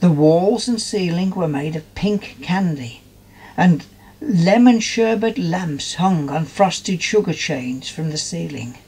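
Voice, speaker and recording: A man narrating a children's fairytale in an expressive storytelling manner. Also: sits high, slow, breathy edge.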